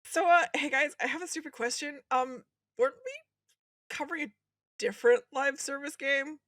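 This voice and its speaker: A woman's voice: sits high, lively intonation, moderate pace, fairly bright tone, no room sound, studio quality. A woman in casual podcast chat, with a hesitant, questioning manner. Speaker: podcast speaker.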